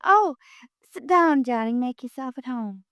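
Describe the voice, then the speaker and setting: Coy young dancer, high-pitched, dry close sound, 1950s radio play. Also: female voice, expressive, unhurried, a bit bright, smooth, no room sound.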